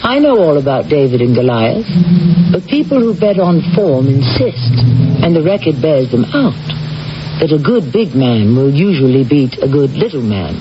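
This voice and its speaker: Male drama host, fairly high animated voice, wry emphasis, muffled old broadcast. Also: normal speed. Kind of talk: theatrical scripted introduction. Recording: muffled, noisy old radio.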